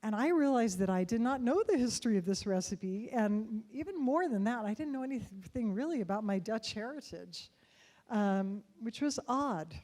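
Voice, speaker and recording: A middle-aged woman with a mellow, dark-toned voice speaks into a stage microphone before an audience. She tells a personal story in an unhurried, conversational way, dotted with soft laughs. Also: fairly high pitch, animated. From United States.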